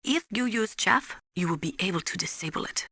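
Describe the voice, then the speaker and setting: A woman playing a tough, earnest Ukrainian nuclear weapons analyst in a video game, speaking deliberately and instructively with a Ukrainian accent. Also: mid-range voice, animated, bright, forceful tone, breathy edge, no echo, studio quality, exaggerated voice. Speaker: military analyst and nuclear specialist. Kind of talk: scripted game voice acting, briefing.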